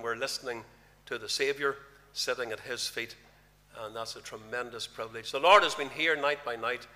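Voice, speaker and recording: Older man, bright voice, church reverb. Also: mid-range voice. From Northern Ireland. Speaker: minister leading a church service. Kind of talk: prayer and service announcements. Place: large church hall with a congregation. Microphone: lectern mic at a pulpit.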